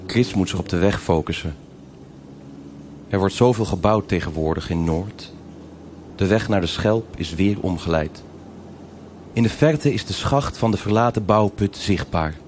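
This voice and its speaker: An actor narrating a noir crime drama as a sober storyteller, in terse, measured, deliberate phrases. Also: male voice, sits low, a little mellow, slight background noise, close to an everyday voice. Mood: brooding, measured. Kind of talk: scripted present-tense noir narration.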